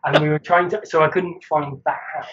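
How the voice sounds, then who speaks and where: A man's voice: on the high side, steady tone, a little fast, highs cut off, noisy background. Rambling, laugh-prone man on a video call. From Wales. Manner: rambling, hesitant, laughs often. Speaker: guest artist. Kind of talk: casual chat.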